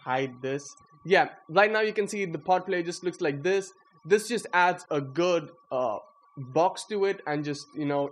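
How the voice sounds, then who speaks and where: A young man giving a casual, slangy walkthrough of his code, excitable and self-deprecating. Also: on the high side. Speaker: coder presenting own project. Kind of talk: casual screen-recorded coding walkthrough.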